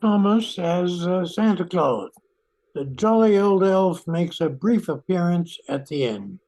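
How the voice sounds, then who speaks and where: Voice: mid-range voice, animated, unhurried, mellow, poor audio. A performer reading a scripted part in an amateur radio play.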